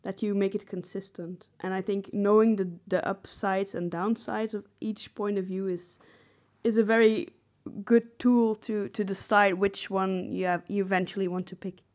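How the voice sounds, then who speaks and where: A female podcast co-host speaks at a middle pitch. She explains things in a casual, slightly hesitant conversational style. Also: little pitch movement, moderate pace, darkish tone.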